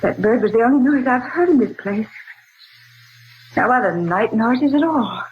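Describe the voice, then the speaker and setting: An actress playing a genteel, easily frightened woman in a theatrical, halting 1940s radio drama style. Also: fairly high pitch, animated, slightly rough. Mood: frightened, awed. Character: frightened, genteel woman recounting ghostly canyon ordeal. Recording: muffled, noisy 1940s radio recording.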